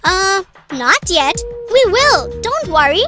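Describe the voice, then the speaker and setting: A woman voicing a small cartoon child with a simple, deliberate, childish delivery. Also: very high voice, big pitch swings, music in the background, full character voice. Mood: pleading. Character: small cartoon children, greedy and pleading with mother. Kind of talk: scripted children's cartoon dialogue.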